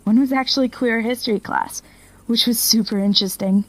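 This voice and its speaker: A woman's voice: on the high side, fairly even intonation, a little fast, natural voice, low-quality recording. A young woman playing an apologetic, eager college student in an audio drama, speaking with a hesitant, friendly manner. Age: young adult. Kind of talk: naturalistic audio drama acting.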